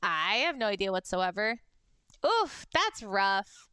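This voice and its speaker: A young adult woman with a high-pitched voice, chatting casually on a livestream and reading fan messages, bubbly and giggly. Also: big pitch swings, slow pace, bright, forceful tone, clean tone, no room sound, clean studio sound. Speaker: VTuber streamer.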